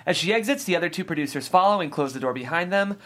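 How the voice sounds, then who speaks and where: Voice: medium pitch, lively intonation, fast talker, fairly bright tone, soft background music. A narrator reading scripted stage directions aloud at a table read.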